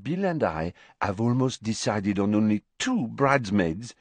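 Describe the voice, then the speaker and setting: Man voicing gushing young woman, fussy bride-to-be, self-assured, raised animated pitch, audiobook character. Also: unhurried, no room sound. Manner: in full flow, opinionated.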